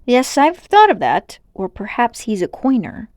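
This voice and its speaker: A woman voicing an excitable, brooding and adventurous schoolboy in a storybook, speaking emphatically. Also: on the high side, a little slow, no echo, studio quality, full character voice. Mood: dark, conspiratorial. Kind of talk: storybook character voice.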